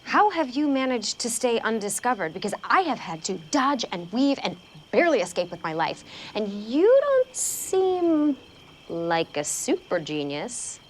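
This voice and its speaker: A woman's voice: on the high side, big pitch swings, measured pace, a little hiss or hum. Blunt, sarcastic woman. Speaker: sitcom character. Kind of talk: sitcom acting.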